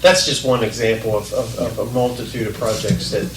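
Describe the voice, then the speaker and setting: A man's voice: fairly low pitch, fairly even intonation, fairly quick. A county commissioner talking at a council meeting, hesitant and often restarting his sentences. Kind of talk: public meeting discussion. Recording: echoey hall, distant mic, hiss.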